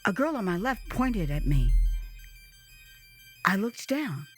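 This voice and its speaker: A woman reading her own memoir as dramatized storytelling, with a theatrical, expressive and emphatic delivery. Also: medium pitch, takes it slowly, loud music behind the voice. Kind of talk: dramatized first-person storytelling.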